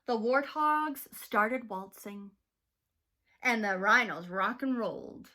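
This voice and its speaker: Teacher lady reading a rhyming picture book, slow and expressive, kinda high voice. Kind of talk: picture book read-aloud.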